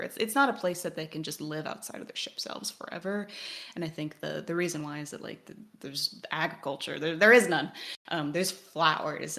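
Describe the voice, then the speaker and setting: A woman's voice: deep voice, gravelly, fairly bright tone. A woman co-hosting a podcast, discussing a game analytically in a thoughtful, academic way. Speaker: podcast co-host.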